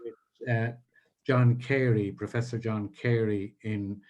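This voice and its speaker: An older Irish poet speaking as a guest in an interview over a video call from his home, in a measured, halting way. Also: lowish voice, fairly even intonation, a little mellow, dull audio, slight background noise. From Ireland. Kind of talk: conversational interview. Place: home in Ireland.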